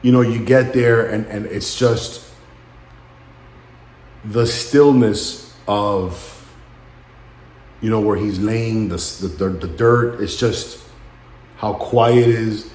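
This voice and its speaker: A man in his late thirties speaking in a halting, somber and introspective way, as in a personal vlog monologue. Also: lowish voice, a little mellow, roomy sound. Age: late 30s. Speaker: vlogger.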